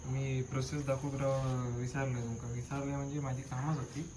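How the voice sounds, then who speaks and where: A man's voice: mid-range voice, level tone, moderate pace. A man from the Konkan with a Malvani accent, talking casually as he explains a hands-on restoration step by step on a handheld phone. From Konkan, western India. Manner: informal, explaining step by step while working. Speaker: motorcycle vlogger. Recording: noisy outdoor handheld phone recording.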